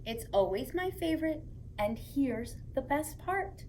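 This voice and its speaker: A woman hosting story time for young children, reading aloud and chatting to them in a playful, expressive way. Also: high-pitched, unhurried, a little hiss or hum, low-quality recording.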